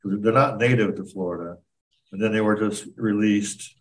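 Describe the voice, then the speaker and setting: A man's voice: fairly low pitch, steady tone, moderate pace. A man co-hosting a podcast, bantering casually about the news and tossing in quips, slightly distant from the microphone. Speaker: podcast co-host.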